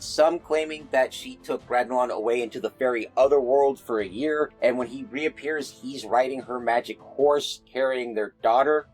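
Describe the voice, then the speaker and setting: A man's voice: medium pitch, rough recording. A man narrating folklore in a casual storytelling style, with wry joking asides.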